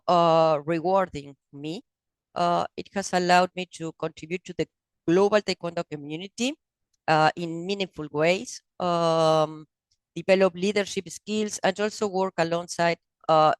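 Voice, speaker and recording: A woman gives a prepared talk over a video call. Her voice is smooth and resonant, with a dry, close sound. She halts often, with fillers and restarts. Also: lowish voice, no room sound. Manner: halting, with many fillers and restarts. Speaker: committee member speaking at a meeting.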